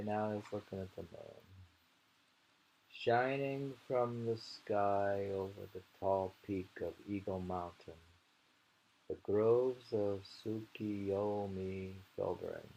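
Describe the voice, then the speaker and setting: A man reading poetry slowly and commenting on it casually, in an unhurried, musing and informal manner. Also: on the low side, gravelly, dark tone, rough recording. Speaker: poetry reader.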